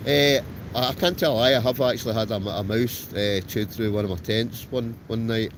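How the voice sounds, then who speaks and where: A man's voice: medium pitch, steady tone, moderate pace. A Scottish man livestreaming from a tent, chatting casually with viewers in a rambling way, with lots of restarts. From Scotland. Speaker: wild camper livestreaming. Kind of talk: casual livestream chat reading viewer comments. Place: in a tent outdoors in a storm. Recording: loud wind noise around the tent. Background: strong wind.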